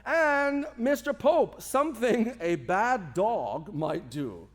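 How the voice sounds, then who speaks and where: Male radio show host: high-voiced, animated, grandiose and playful. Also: slow. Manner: grandiose, playful, formal introductions. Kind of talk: theatrical showman hosting.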